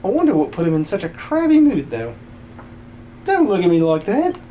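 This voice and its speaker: A man's voice: high voice, wildly swooping pitch, normal speed, dark tone, lo-fi sound, a little hiss or hum. A man voicing a good-natured, cheeky rustic villager for a game dub, chatty and colloquial. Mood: sheepish joking.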